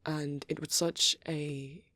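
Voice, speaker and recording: A performer voicing a shy, bashful, nerdy young male vampire boyfriend in a soft, hesitant, rambling ASMR roleplay. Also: mid-range voice, slow. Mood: shyly enthusiastic rambling. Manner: hesitant, stammering, apologetic, excitable when rambling.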